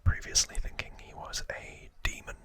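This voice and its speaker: Softly whispering male ASMR host. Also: normal speed. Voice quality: breathy whisper. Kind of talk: whispered ASMR trivia storytelling.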